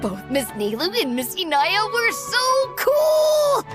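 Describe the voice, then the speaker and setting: Squeaky, bubbly little child. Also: female voice, wildly swooping pitch, slow, heavily acted. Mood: gushing. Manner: gushing, exclamatory, innocent. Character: small adoring child, bubbly and loyal. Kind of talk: scripted video game dialogue. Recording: loud music under game dialogue.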